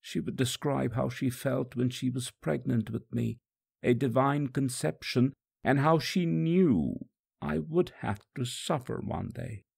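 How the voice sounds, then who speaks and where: A man voicing a sorrowful, tender condemned holy man, telling his suffering in a grave, reflective storybook monologue with a gravelly, husky voice. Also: mid-range voice, measured pace, darkish tone, voice pushed up, full character voice. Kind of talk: solemn first-person dramatic monologue.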